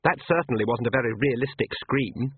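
A man's voice: mid-range voice, fairly quick, breathy edge, dry recording, lightly acted. A man voicing a haughty, sardonic young female vampire. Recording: tinny phone-like dry sound.

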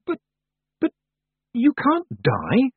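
A man's voice: fairly high pitch, huge pitch swings, moderate pace, slightly rough, dry recording, narrow, tinny audio, pitched above the performer's own voice, heavily acted. A man voicing a young witch girl, earnest, stubborn and a little anxious, in a storybook reading. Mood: anxious, protesting. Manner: hesitant, protesting.

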